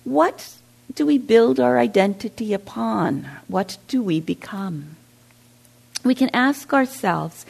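Gravelly-voiced woman meditation teacher. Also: medium pitch, darkish tone. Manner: reflective, asks the audience questions. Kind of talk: dharma talk. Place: meditation center hall.

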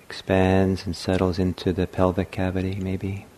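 A man leading a guided meditation as a teacher, speaking gently and unhurriedly in short phrases with long pauses. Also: low-pitched, completely flat delivery, slight background noise.

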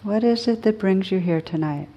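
A woman teaching a guided meditation in a gentle, soothing, unhurried manner with long pauses between phrases. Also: medium pitch, muffled recording.

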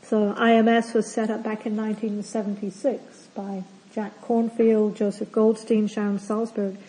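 Calm woman meditation teacher, mellow voice. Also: mid-range voice, normal speed, poor audio. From not from Australia. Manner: calm, gentle, explanatory. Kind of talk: retreat opening talk. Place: retreat centre hall.

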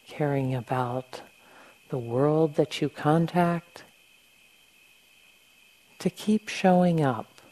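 Woman, meditation teacher, soft mellow tone, very slow pace, gentle delivery, long pauses. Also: low voice, low-quality recording. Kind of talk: guided meditation, softly instructing.

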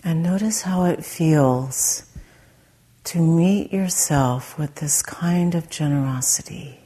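A woman meditation teacher leading a guided meditation in a soft, breathy, gentle way with long pauses. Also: low-pitched.

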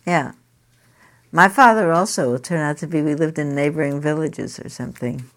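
Low-voiced woman leading a group talk. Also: normal speed. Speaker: meditation teacher leading a group. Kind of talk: informal group discussion.

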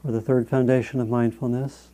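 A man guiding a meditation as a teacher, speaking in a measured, gentle way with long pauses between phrases. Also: medium pitch, little pitch movement, rough recording. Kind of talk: guided meditation instruction.

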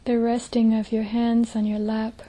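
A woman leading a guided meditation as a teacher, speaking gently in a soft, breathy, hushed voice with long pauses. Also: a little high, completely flat delivery.

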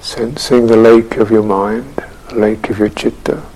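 Slow, husky man guiding meditation. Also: on the low side, darkish tone, rough recording. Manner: measured, contemplative, with long pauses. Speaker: Buddhist monk teaching meditation. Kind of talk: guided meditation and dharma talk.

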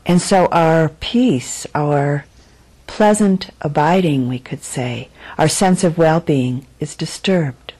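A woman meditation teacher with a low, mellow voice gives a guided dharma talk. She phrases things deliberately and leaves frequent pauses. Her delivery is very unhurried. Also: slightly rough, rough recording.

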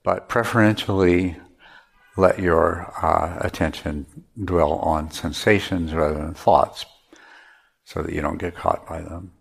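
A man teaching meditation, giving measured guided instructions with pauses between phrases. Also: low-pitched, fairly even intonation, creaky, breathy edge.

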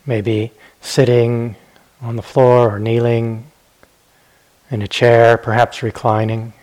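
A man teaching guided meditation in a gentle, unhurried manner, leaving long pauses between phrases. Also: medium pitch, level tone, rough recording.

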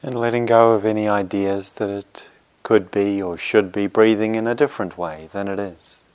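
A man with a fairly low, very smooth voice leads a guided meditation. He speaks gently, in short phrases with plenty of space between them. His manner is reassuring, as a teacher settling students into practice. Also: fairly even intonation, moderate pace.

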